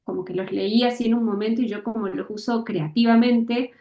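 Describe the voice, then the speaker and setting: A woman author, from Misiones, talking through her creative process in a thoughtful interview, often pausing and restarting as she looks for words. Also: a little high, steady tone, dark tone, roomy sound. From Misiones, Argentina. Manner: hesitant, searching for words, many fillers and restarts.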